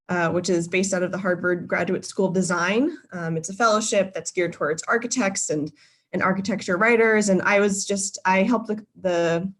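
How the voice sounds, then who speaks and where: Young woman in her twenties, lower voice, casual guest talk full of fillers. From Boston, Massachusetts. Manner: friendly, many filler ums and uhs, self-correcting. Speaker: guest speaker. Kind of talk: casual presentation to a live virtual audience. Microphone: video call.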